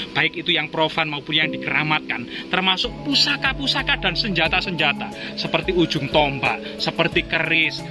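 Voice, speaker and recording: A man giving unscripted explanations of an old site as a guide, often repeating his phrases. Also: sits high. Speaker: host and guide explaining ancient sites. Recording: loud music behind the voice. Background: loud music.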